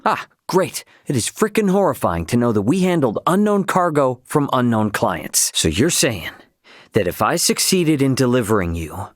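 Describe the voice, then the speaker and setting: A man's voice: medium pitch, no room sound, clean studio sound, natural voice. An actor playing a brash young male starship captain in a video game, with a casual, plainspoken delivery. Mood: annoyed.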